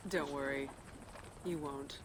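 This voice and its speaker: A woman's voice: deep voice, steady tone, slow. A sardonic young fire-giant woman, noisy background. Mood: mocking. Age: young adult. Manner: sardonic, mocking, defiant. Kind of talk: video game cutscene acting. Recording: noisy game ambience behind.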